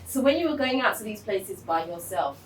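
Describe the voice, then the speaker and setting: Lively woman interviewer in echoey room. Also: mid-range voice, brisk. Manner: attentive, encouraging listener with frequent affirmations. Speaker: interview host. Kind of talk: casual interview. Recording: echoey room, distant mic, faint background noise.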